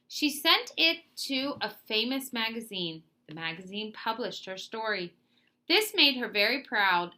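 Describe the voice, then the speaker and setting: A woman reading a children's nonfiction book aloud, phrasing it carefully in short, clear pieces. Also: mid-range voice, sharp tone. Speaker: read-aloud narrator.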